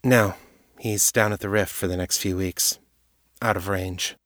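A man's voice: sits low, fairly even intonation, a little slow, fairly bright tone, no echo, studio quality. A man voicing a blunt, loyal woman police detective with plain, direct speech. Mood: flat. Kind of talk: audiobook character voice.

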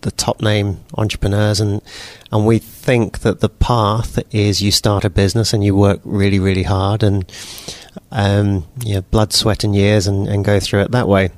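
A man's voice: on the low side, moderate pace, dry recording. A New Zealand-born man in his forties with a British accent, answering interview questions casually with frequent restarts. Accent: British English. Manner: relaxed, repeats words and restarts often. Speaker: guest entrepreneur.

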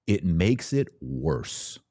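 A man's voice: deep voice, big pitch swings, drawn-out words, warm tone, no room sound, studio quality. An American man giving opinionated solo podcast commentary, sarcastic and emphatic, repeating phrases for effect.

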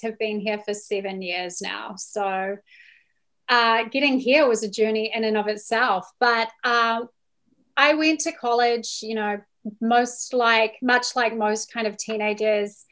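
A woman's voice: fairly high pitch, a little slow, pushed and bright. A woman with a New Zealand accent telling her story casually and candidly as a podcast guest.

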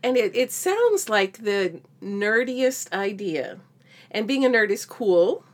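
This is a middle-aged woman with an average-pitched voice, co-hosting a podcast. She chats informally and shares little anecdotes. Her humor is wry and pokes fun at herself. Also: sing-song, slow. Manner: wry, self-deprecating humor. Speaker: podcast co-host. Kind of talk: casual chat and anecdotes.

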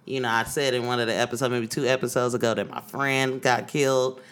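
Man, podcast co-host, from Oakland. Loose, slangy storyteller with a bright voice. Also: mid-range voice, flat delivery, fast, clean tone. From East Oakland, California. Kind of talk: casual podcast chat.

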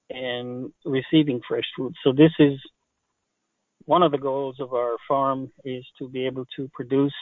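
An older man from Israel with an Israeli accent, speaking as a guest farmer in halting, pause-filled answers. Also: medium pitch, dry recording. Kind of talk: interview answers. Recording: tinny phone line.

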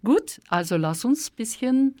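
Woman, radio host, middle pitch, halting delivery with lots of fillers. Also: very animated, darkish tone. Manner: hesitant, with frequent fillers. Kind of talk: radio interview.

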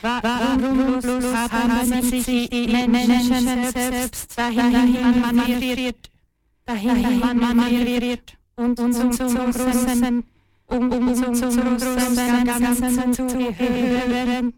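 A woman's voice: a little high, completely flat delivery, takes it slowly, darkish tone, faint music behind. A woman playing a pensive artificial intelligence in a radio play, stuttering and repeating words like a glitching machine. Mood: detached, halting. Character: female artificial intelligence, pensive servant of a heavenly power.

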